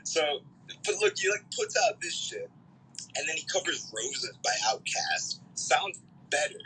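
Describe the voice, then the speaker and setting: A man's voice: fairly high pitch, very animated, unhurried, pushed and bright, a little hiss or hum, rough recording. A young man from Canada, a musician guest, chatting casually and rambling with lots of fillers and swearing. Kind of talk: casual interview chat.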